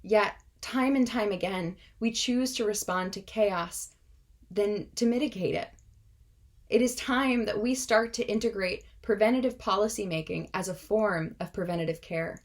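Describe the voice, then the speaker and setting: A woman in her twenties, a native Russian speaker, delivering a prepared speech in an earnest, measured, impassioned way. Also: mid-range voice. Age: 20s.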